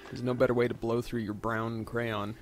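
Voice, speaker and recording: Wry male co-commentator, mid-pitched voice. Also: flat delivery, normal speed, faint music behind. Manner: wry, sarcastic. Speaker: let's play co-commentator. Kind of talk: casual joking banter.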